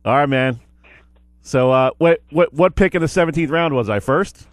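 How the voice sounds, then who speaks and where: A man's voice: fairly high pitch, normal speed, no room sound, studio quality. A man hosting a sports radio show, asking chatty questions with frequent fillers and restarts. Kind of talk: casual radio interview. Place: radio studio.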